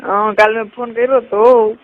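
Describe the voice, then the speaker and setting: A woman's voice: on the high side, level tone, moderate pace. A young woman chatting casually and privately on a recorded phone call.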